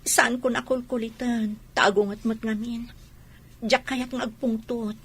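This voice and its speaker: A woman's voice: on the high side, steady tone, measured pace, breathy edge. An actress playing an anxious, suspicious soldier's wife in an Ilocano radio drama, pointed and plaintive as she questions.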